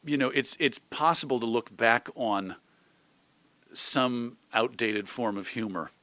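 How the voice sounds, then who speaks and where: American guy being interviewed, bright clear-cut voice, thoughtful answers, very dry close sound. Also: medium pitch, unhurried, no echo. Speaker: interview guest, old-time radio host and magazine editor.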